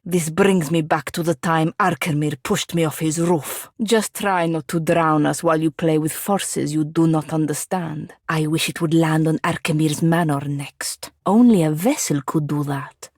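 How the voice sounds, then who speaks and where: A woman's voice: low-pitched, darkish tone, husky, no room sound, exaggerated voice. A woman playing a proud, long-suffering wizard's apprentice in a video game, with formal wording and dry, biting sarcasm. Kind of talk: video game character voice acting.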